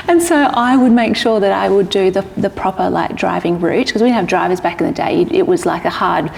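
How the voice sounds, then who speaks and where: An Australian woman from Sydney in her thirties, chatting as an interview guest and telling stories quickly with many fillers. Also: medium pitch, vocal fry, long reverb tail, a little hiss or hum, poor audio. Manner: chatty, fast run-on storytelling with many "like"s. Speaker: guest, publicist and entrepreneur. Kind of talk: casual interview chat.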